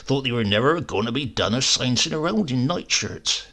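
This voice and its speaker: A man's voice: mid-range voice, lively intonation, brisk, sharp tone. A man voicing a sly old countryman uncle, drawling and earthy, with a mock-meek air. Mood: grumbling.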